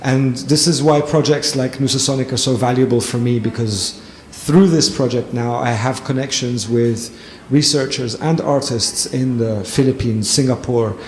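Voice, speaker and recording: A man speaks at a panel talk, pausing often with ums and restarting his sentences as he thinks aloud. His voice sits at a middle pitch and rings around a reverberant hall, with a faint hiss underneath. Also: a little mellow. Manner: thoughtful, with frequent ums and restarts. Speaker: lecturer and panelist. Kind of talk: conversational talk answering a question. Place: event hall with audience. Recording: echoey hall, faint noise.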